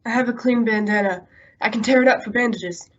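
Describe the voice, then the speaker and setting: A woman's voice: fairly high pitch, fairly quick, lo-fi sound, lightly acted. A woman playing a loyal, practical male sidekick in a radio western, terse and matter-of-fact. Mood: calm, helpful. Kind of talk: old-time radio western acting.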